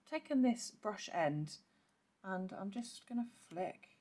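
A woman with a croaky voice, chatting through a step-by-step card-making tutorial and clearing her throat now and then. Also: mid-range voice, lively intonation, unhurried, a little mellow. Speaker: papercraft demonstrator. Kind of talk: casual step-by-step craft tutorial.

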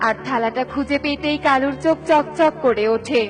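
A woman's voice: sits high, normal speed, faint music behind. A woman narrator reading a scripted children's story.

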